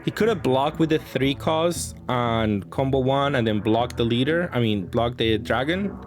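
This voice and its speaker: A man's voice: mid-range voice, unhurried. A man giving casual gameplay commentary, thinking aloud in a hesitant way as he plays. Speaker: card game player presenting match commentary. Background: music.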